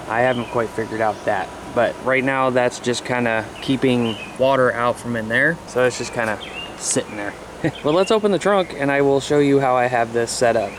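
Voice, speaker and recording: A man, chatting casually and folksily to viewers on a handheld camera in and around a car. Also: mid-range voice. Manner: folksy, colloquial. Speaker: amateur CB radio vlogger. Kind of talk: casual vlog talk to viewers. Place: in a parked car and outdoors beside it. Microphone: handheld camera at arm's length. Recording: clearly noisy handheld camera audio.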